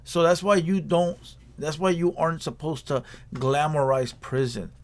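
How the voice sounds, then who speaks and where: Male reaction host, slightly high voice, blunt and slangy, casual commentary that hammers each point. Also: steady tone, moderate pace. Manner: blunt, repetitive, slangy lecturing.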